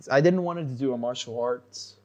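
A man's voice: medium pitch, moderate pace, darkish tone. A teenage boy co-hosting a casual podcast chat, rambling with plenty of fillers and restarts. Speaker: podcast co-host.

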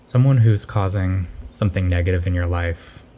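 Male meditation narrator, low register and warm dark tone, gentle and unhurried delivery, faint noise behind the voice. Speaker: meditation guide.

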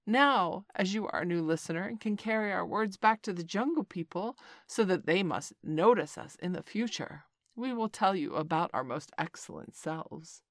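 A frantic troop of bragging jungle monkeys, as a woman reads them, shouting over each other in high, shrill bursts. The sound is dry and close, with no room around it. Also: animated, normal speed, dry recording. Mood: boastful. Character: chattering boastful monkey horde.

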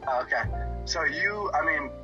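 A man's voice: on the high side, lively intonation, moderate pace, muffled recording, music in the background. A man co-hosting a podcast over a video call, chatting casually and rambling with many restarts and fillers. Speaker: podcast co-host.

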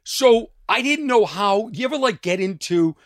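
A man from Massachusetts hosting a podcast and telling comic stories about himself in a loose, animated way. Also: high-pitched, normal speed, dry recording, studio quality. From Lexington, Massachusetts. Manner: animated, self-mocking storyteller. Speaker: podcast host. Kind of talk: casual comedic chat.

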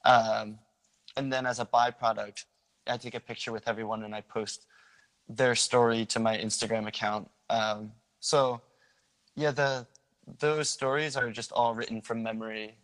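A young man from the Philadelphia area talks in an easygoing, informal way, often pausing on "um." His pitch barely moves and stays fairly even from phrase to phrase. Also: poor audio. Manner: relaxed, informal, many ums. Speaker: guest sharing a meet-people project. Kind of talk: casual interview chat.

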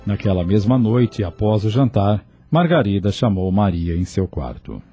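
A man narrating a scripted radio drama in a measured, solemn storytelling style. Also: fairly low pitch, very animated, dark tone, faint music behind.

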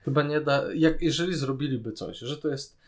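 A man's voice: a little high, brisk. A man talking casually as a podcast co-host, giving his opinions in an informal way. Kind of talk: casual review chat.

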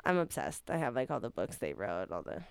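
A woman's voice: rumbling low, sing-song, gravelly, clean tone, no room sound. A woman chatting as a guest on a radio show, enthusiastic and talkative. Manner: chatty, enthusiastic, quick laughs. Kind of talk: casual radio conversation.

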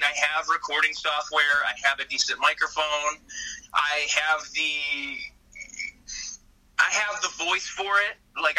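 A man hosting a casual chat, talking in an expressive, animated way. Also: on the high side, recorded from a distance, rough recording. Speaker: podcast host.

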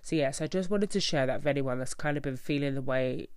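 A woman's voice: a bass voice, fast, darkish tone, clear, resonant tone, dry recording. A young woman podcast host with a nasal, stuffy voice, under the weather, talking earnestly and encouragingly to her listeners. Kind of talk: solo reflective podcast talk.

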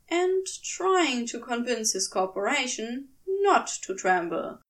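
A woman reading scripted fiction aloud as an expressive audiobook narrator. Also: a little high, distant mic. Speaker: podfic narrator.

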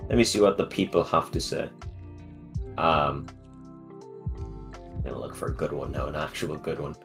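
Very deep-voiced young male host. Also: gravelly, faint music behind. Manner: joking, self-deprecating, halting. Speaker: podcast host. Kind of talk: casual chat.

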